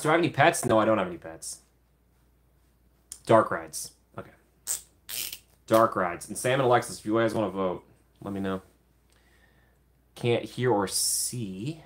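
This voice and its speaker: A man's voice: lowish voice, fairly bright tone. A young man in his twenties hosting a casual livestream from a small room, chatting and answering viewer comments. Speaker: livestream host.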